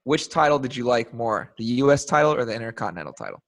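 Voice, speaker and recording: A man's voice: medium pitch, big pitch swings, fast talker. A man co-hosting a podcast, joking and ribbing the guest in casual chat.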